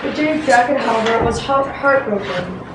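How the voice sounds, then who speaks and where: A female amateur performer playing a patient father who tells folk tales in readers theatre, reading a little haltingly. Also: a little high, natural voice. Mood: patient, storytelling. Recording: noisy echoey room, distant microphone.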